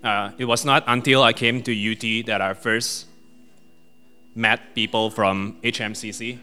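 A young man with a Malaysian accent shares his testimony in a relaxed, chatty way, often laughing at himself between thoughts. His voice is bright and forward. Faint instrumental music plays behind him. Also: fairly low pitch, measured pace, clean tone. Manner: casual and self-deprecating, laughs often, many fillers. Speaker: guest speaker. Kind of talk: personal testimony.

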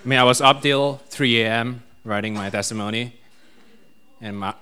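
A young man with a Malaysian accent giving a casual personal testimony at a church retreat, self-deprecating and quick to laugh, with plenty of fillers. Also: mid-range voice, sharp tone. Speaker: guest speaker.

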